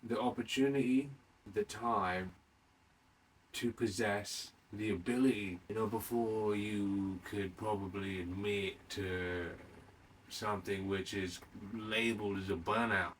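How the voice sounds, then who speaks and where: A man in his late thirties hosting a solo podcast in a rambling, unscripted monologue, haltingly repeating and rephrasing himself and putting questions to the listener. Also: lowish voice, steady tone, a bit bright. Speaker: podcast host. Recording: distant mic with faint background noise.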